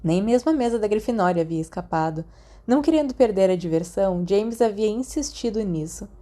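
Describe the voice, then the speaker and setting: A Brazilian woman narrates a work of fiction. Her voice is mid-pitched and distinctly mellow. She reads from a script in the manner of an audiobook. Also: lively intonation, brisk.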